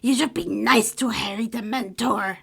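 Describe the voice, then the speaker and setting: A woman's voice: fairly high pitch, moderate pace, gravelly, a bit bright, breathy edge, full character voice. Woman doing a grouchy, scolding house elf. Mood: scolding, warning. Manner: speaks of others in third person.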